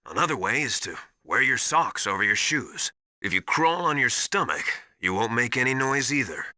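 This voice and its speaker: Bright, forceful survival mentor. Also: male voice, mid-range voice, sing-song, moderate pace, no room sound, studio quality. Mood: instructive. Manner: instructive, matter-of-fact, encouraging. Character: seasoned male survival instructor, encouraging military mentor. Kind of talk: video game radio briefing.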